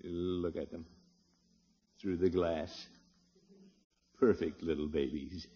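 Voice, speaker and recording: An actor playing a blustery, soft-hearted middle-aged grandfather in a 1950s radio comedy, theatrical and swinging from booming exclamations to low murmurs. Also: fairly even intonation, slow pace, husky, heavily acted. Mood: tender, hushed. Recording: muffled vintage radio recording.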